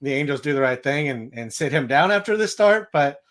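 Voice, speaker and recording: A man's voice: on the high side, animated, a little fast, fairly bright tone. A man hosting a sports podcast, giving casual, matter-of-fact baseball analysis.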